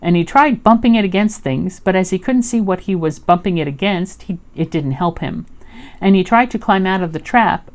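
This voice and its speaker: A woman reading a children's story aloud as an expressive storyteller. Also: low voice.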